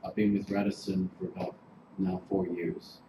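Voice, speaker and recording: Greek guy in his 40s presenting to a class, lowish voice, roomy and noisy. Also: level tone, normal speed. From Greece. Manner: chatty, informal, filler-heavy. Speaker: hotel general manager giving a workshop. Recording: roomy, noisy room recording.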